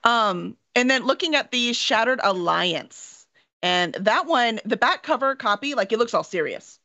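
Animated woman with a bright voice. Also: on the high side, unhurried. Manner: animated, informal. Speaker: interview host. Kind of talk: casual, chatty interviewing. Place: small home room with bookshelves. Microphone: video call, at a desk. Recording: slightly dull video call audio.

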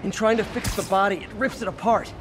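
A man's voice: high-pitched, brisk, noisy background, lightly acted. An actor voicing an earnest, worried young male superhero in a video game. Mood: urgent, concerned. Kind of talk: game voice acting.

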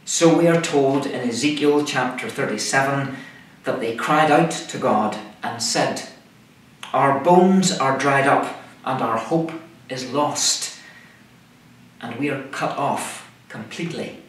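Male minister, middle-aged, deliberate sermon delivery, recorded far from the mic in a very echoey room. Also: medium pitch, fairly bright tone. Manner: measured, deliberate delivery in short phrases. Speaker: minister preaching. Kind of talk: scripted sermon. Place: indoors, small room, seated at a table. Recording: echoey room, far microphone, faint noise.

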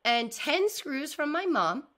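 A woman's voice: high voice, big pitch swings, slow pace, pushed and bright, pitched above the performer's own voice, full character voice. A woman reading the part of an eager, slightly anxious little schoolgirl in a storybook, listing things earnestly. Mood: earnest, hopeful.

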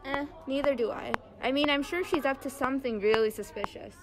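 A woman's voice: high voice, a little fast. A teenage girl playing a suspicious young survivor in an amateur audio drama. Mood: wary. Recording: noisy amateur student recording.

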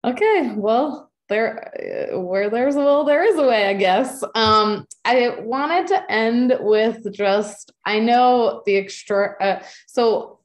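A woman's voice: on the high side, animated, slow pace, few pauses. Bubbly young woman hosting a webinar. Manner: bubbly, gushing, many likes and ums. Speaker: host. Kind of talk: enthusiastic host moderating a webinar. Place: living room at home. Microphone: webcam on a video call.

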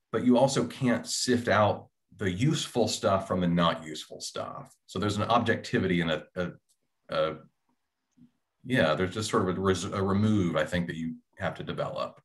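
A man in his thirties talking casually and reflectively as a panelist on a video call, searching for words. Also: on the low side. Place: indoor room, video call.

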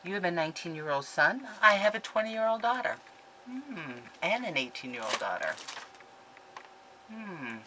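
Woman, 50s, low-pitched, excitable and rambling; home webcam sound with clear background noise. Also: low-quality recording. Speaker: scrapbooking vlogger. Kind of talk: casual chatty haul video. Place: craft room at home, indoors. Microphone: webcam at desk.

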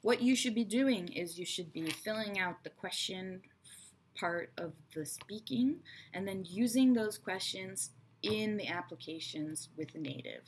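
Casting: woman, 30s, chatty teacher voice, lower pitch, unhurried delivery, webcam in a small room. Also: slight background noise, rough recording. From Israel and America. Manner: chatty, frank, teacherly asides. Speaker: English teacher. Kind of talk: online English lesson to camera. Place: small bright room indoors. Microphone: webcam at desk.

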